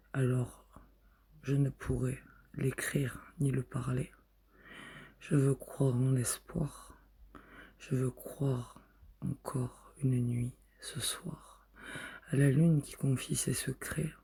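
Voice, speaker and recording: A man reciting spoken-word poetry in short, measured phrases, with a husky, breathy voice that often drops to a whisper. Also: mid-range voice, dark tone.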